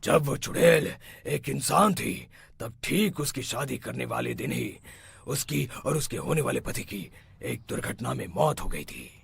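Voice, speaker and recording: A man's voice: mid-range voice, huge pitch swings, measured pace, creaky, slightly rough, heavily acted. A man voicing stern older village men in a cartoon story, emphatic and imperious. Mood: commanding. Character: stern older village men: father-in-law, landlord, exorcist.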